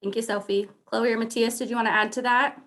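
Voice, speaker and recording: A woman's voice: fairly high pitch, fairly even intonation, fast talker, a bit bright. Woman panel moderator, encouraging tone, reads audience questions.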